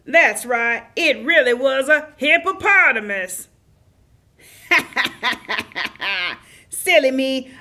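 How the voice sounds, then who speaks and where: A woman's voice: high-pitched, sharp tone, heavily acted. A woman voicing a silly, muddled, cheerful puppet wolf who tells children stories in a scatterbrained, singsong way. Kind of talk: children's puppet theater storytelling.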